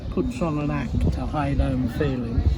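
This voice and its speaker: A man's voice: medium pitch, measured pace, over loud music. An older man giving a palm reading in clipped, matter-of-fact pronouncements, recorded outdoors. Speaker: palm reader. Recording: very noisy street, traffic and bystanders.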